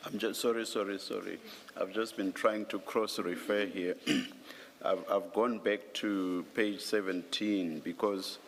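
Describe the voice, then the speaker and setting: A man's voice: lowish voice, fairly even intonation, measured pace, slight background noise. A man chairing a formal hearing, questioning hesitantly and repeating words as he thinks aloud. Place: hearing room.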